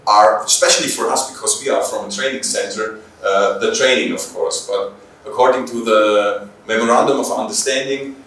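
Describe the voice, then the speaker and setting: Echoey, distant German-accented man, halting speech. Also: fairly low pitch, steady tone, fairly bright tone, a little hiss or hum. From Bavaria, Germany. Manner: halting, searching for words, repeating phrases. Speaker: police trainer, interview guest. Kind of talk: unscripted interview answers. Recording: very echoey room, distant microphone.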